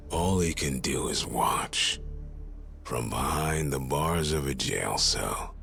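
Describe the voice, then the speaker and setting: An actor playing a cocky gangster rival in a video game cutscene, talking low and mocking in a husky half-whisper. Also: male voice, a bit bright, full character voice. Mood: taunting. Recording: game audio with music behind.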